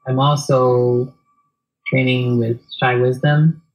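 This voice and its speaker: A soft-spoken man, talking reflectively as an interview guest, hesitant with many fillers and restarts. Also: mid-range voice, little pitch movement, takes it slowly, lo-fi sound.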